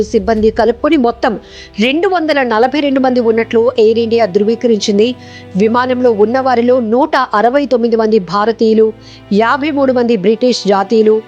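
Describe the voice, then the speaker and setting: High-pitched woman presenting news. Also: darkish tone. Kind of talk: scripted news explainer narration. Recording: music bed behind the voice.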